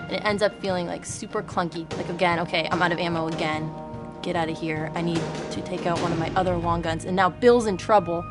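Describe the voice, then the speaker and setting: A woman with a fairly low voice talks candidly as a designer walking through a demo. She is informal and self-deprecating, swears a little, and music plays clearly behind her. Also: fairly even intonation, quick pace. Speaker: game UI designer. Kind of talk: candid interview talk while demonstrating a game. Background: background music.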